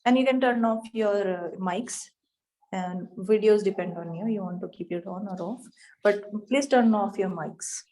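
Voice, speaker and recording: A woman teaching an online yoga anatomy class, speaking haltingly with many fillers. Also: mid-range voice, mellow. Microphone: video call.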